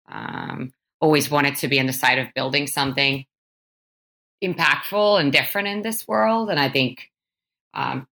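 A woman from Finland speaks casually about herself as a founder. Her voice is extremely low for a woman yet bright and firm-edged. She is reflective and halting, often searching for the next word. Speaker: startup founder, guest. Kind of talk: casual spoken self-introduction.

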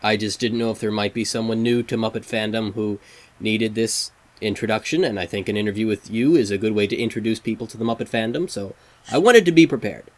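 A man's voice: lowish voice, brisk. A man hosting a fan site interview, polite and earnest, hesitating with fillers. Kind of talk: casual interview.

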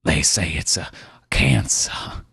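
A man's voice: slow, sharp tone. A man voicing a dying, bitter old man who gurgles and speaks in a harsh, breathless whisper. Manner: breathless, cracking voice.

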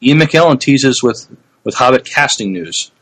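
A man co-hosting a podcast, talking casually in a hesitant, halting way with repeated words. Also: mid-range voice, expressive, a bit bright, slightly rough, low-quality recording. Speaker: podcast co-host. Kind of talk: casual sci-fi news chat.

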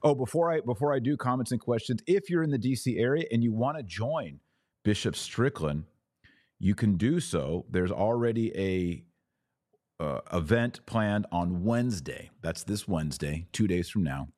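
A man with a low-pitched voice hosts a live show in a conversational, direct style. He urges his listeners along as he moves through comments and commentary. The sound is dry, with very little room in it. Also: animated, moderate pace, a little mellow, dry recording. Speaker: podcast host. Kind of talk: casual live commentary, reading and answering viewer comments.

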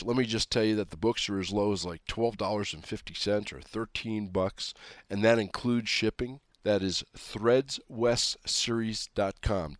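A man hosting a political talk radio show, sounding nasal, congested and raspy from a cold, talking in a folksy, emphatic style. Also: lowish voice, no room sound, clean studio sound.

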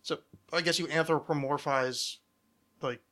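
A man's voice: fairly high pitch, fairly even intonation, a little slow, a bit bright. A man hosting a podcast with casual comedic banter, rambling and sardonic, swearing freely. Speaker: podcast host.

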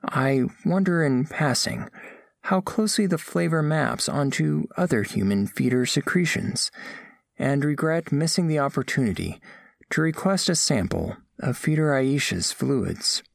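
A man's voice: on the high side, expressive, measured pace, gravelly, no echo, studio quality. A man playing an earnest, formal alien scholar, narrating a scripted audio drama in precise, literal wording. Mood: awed. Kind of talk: scripted first-person narration.

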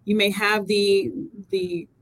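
A woman's voice: mid-range voice, monotone, slow pace, fairly bright tone, poor audio. A woman from Texas hosting an interview, curious and asking long winding questions. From Texas, USA. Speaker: podcast host. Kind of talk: casual interview talk.

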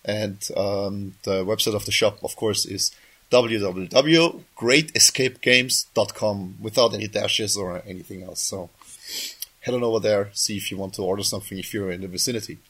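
A man's voice: on the low side, normal speed, a bit bright, breathy edge. A man hosting a casual podcast while sick, coughing now and then, his voice just back, rambling and often correcting himself mid-sentence. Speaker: podcast host.

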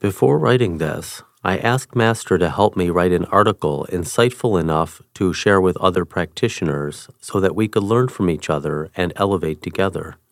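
A man with a fairly low voice reads a first-person article aloud from a script. His delivery is measured and even. The sound is close and dry, with no room around it. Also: dry recording. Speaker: reader.

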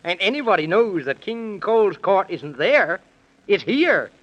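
High, fussy, silly moon man. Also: sing-song, unhurried. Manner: fussy, exclamatory, giggly nonsense logic. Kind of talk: 1930s radio acting. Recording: dull old 1930s radio recording.

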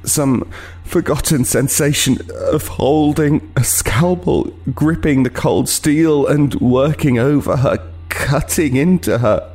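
An actor playing a haunted, remorseful homeless killer, narrating a horror audio drama gravely and with anguish. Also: male voice, a little high, takes it slowly, darkish tone, faint music behind. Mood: haunted dread.

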